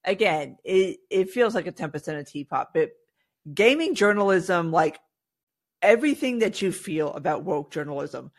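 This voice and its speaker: Higher-voiced man chatting on a podcast. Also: animated, normal speed. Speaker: podcast co-host. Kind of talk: casual opinionated podcast chat.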